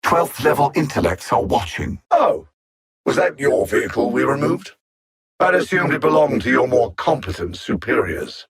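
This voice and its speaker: An actor voicing an arrogant alien supervillain mastermind in a video game, coldly mocking, condescending, with precise formal wording. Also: male voice, mid-range voice. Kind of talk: theatrical video game villain dialogue.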